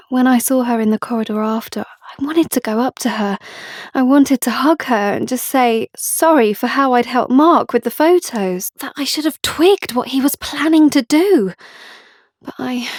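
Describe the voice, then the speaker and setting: A woman's voice: a little high, a little mellow, dry recording. Confiding, anxious young British woman, dry sound. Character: anxious, guilt-ridden, clever young woman data analyst. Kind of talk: audio drama monologue.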